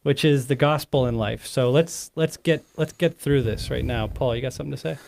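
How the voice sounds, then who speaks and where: A man's voice: mid-range voice, fairly quick, creaky, darkish tone, a little hiss or hum. Man hosting a podcast, rambling and friendly. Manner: friendly, rambling, self-correcting. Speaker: host. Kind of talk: casual podcast chat.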